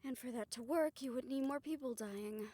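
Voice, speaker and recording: A woman performing a scripted audio roleplay, voicing several characters in a soft, hushed way that often drops to a whisper. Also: high-pitched, normal speed.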